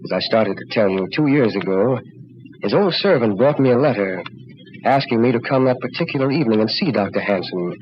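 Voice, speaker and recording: Male actor as grave middle-aged doctor, measured delivery, 1940s radio drama, muffled recording, faint noise. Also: mid-range voice, animated, lightly acted. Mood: solemn, ominous. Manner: measured, solemn explaining.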